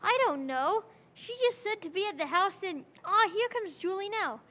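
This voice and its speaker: A woman's voice: very high voice, animated, normal speed, pushed and bright, full character voice. A woman playing an eager teenage boy. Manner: eager, exclaiming. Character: eager boy Junior, earnest teenage son. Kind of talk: 1940s radio comedy acting.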